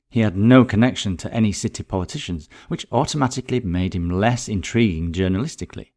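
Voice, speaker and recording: A man's voice: lowish voice, a little mellow. A man reading a scripted murder mystery story as its narrator.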